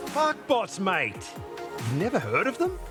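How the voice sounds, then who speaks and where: Brash, animated starship captain. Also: male voice, high voice, unhurried, over music, full character voice. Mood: boisterous. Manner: crude, irreverent, slangy. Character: brash, cocky, foul-mouthed male starship captain. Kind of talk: scripted video game dialogue.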